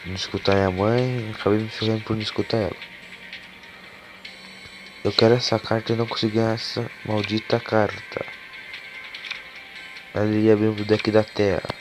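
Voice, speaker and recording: A man with a cold and a hoarse voice, talking casually as he opens card packs for a gaming video, cursing and getting excited over the cards. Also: lowish voice, moderate pace, pauses often. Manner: casual and swearing, excitable when cards are revealed. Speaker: gaming YouTuber. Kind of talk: casual gameplay commentary. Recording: game music playing behind the voice.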